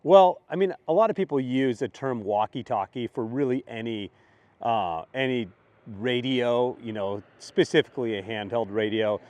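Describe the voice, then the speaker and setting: A man's voice: medium pitch, normal speed, darkish tone. A man hosting a casual question-and-answer session, explaining things in a conversational, matter-of-fact way. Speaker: host answering community questions.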